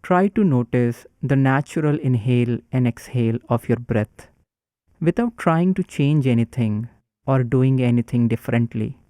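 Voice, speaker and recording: A man guiding a breathing meditation in a calm, instructive way. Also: medium pitch, moderate pace, no echo, studio quality. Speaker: meditation guide.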